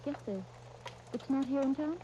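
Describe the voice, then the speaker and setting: Haunted, self-denying young wife for old radio drama, female actor; higher mellow voice, earnest and restrained. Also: normal speed, lo-fi sound, slight background noise, natural voice. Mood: sad, reluctant.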